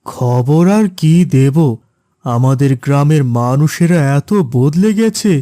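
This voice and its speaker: A man voicing a gentle, patient middle-aged father in a cartoon. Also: a little high, expressive, slow, no echo, clean studio sound. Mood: resigned. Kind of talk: cartoon voice acting.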